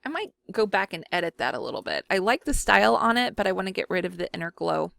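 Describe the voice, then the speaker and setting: Woman vlogger from Mississippi, slight fry in the voice, dry close mic, rambling casual chat. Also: mid-range voice, sing-song, quick pace, a bit bright, no echo. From Mississippi, USA. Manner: rambling, self-deprecating, thinks aloud with fillers. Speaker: scrapbooking vlogger. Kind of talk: casual chat while working.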